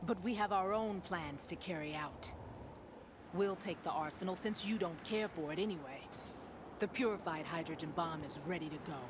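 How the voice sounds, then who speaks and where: A man's voice: on the high side, normal speed, background noise, close to an everyday voice. An actor voicing a defiant male rival leader in video game cutscene dialogue, asking clipped, probing questions. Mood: wary. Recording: game cutscene with music underneath.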